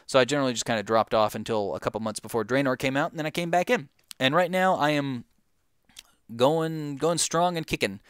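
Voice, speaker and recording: A young man chats through viewer questions in a loose, rambling way, stopping and restarting as he goes. His voice is smooth and clear at a middling pitch. The recording is dry and close. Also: a little fast, a bit bright, dry recording. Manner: rambling, many fillers and restarts. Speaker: gaming YouTuber answering viewer questions. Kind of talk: casual Q&A chat.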